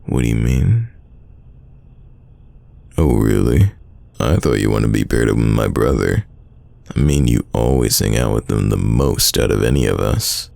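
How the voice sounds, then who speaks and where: A man playing a secretly smitten, teasing young man in an intimate roleplay audio drama, deep and gravelly, talking casually. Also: expressive, a little mellow, dry recording, exaggerated voice. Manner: casual, conversational, halting, teasing.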